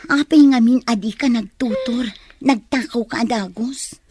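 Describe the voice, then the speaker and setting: A woman playing a sly, flirtatious, scheming market vendor in an Ilocano radio drama, with an expressive, drawn-out delivery. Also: fairly high pitch, slight background noise, close to an everyday voice. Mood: conniving, gleeful.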